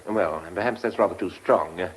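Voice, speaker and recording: An actor playing a pompous, dithering government official, blustering and groping for words in a radio drama. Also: male voice, sits low, moderate pace, slight background noise, natural voice, rough recording. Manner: blustering, hesitant, searching for words.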